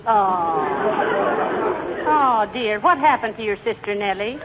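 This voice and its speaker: An actress playing a wry, sensible, no-nonsense wife with a dry homespun manner in 1940s radio comedy. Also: female voice, mid-range voice, lively intonation, slow, over a noisy crowd, heavy background noise. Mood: weary, kindly. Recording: tinny old radio recording, loud audience.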